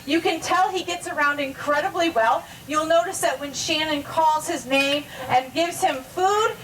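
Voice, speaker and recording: Female zoo educator, high-pitched, informal live presenting style, picked up by a distant mic. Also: normal speed, a bit bright. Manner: explanatory, informal, addresses onlookers directly. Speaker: zoo animal show presenter.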